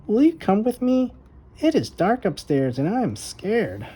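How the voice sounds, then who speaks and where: A woman voicing an eager, bossy little girl in a children's storybook, high and childlike with an emphatic singsong delivery. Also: wildly swooping pitch, unhurried, warm tone, husky, full character voice. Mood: pleading, timid.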